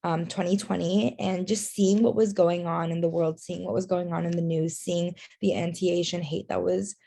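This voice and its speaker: A woman's voice: fairly low pitch, fairly even intonation, moderate pace, creaky, mellow. A young woman giving an earnest online workshop presentation over a video call, with many fillers. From Taiwan and China. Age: young adult.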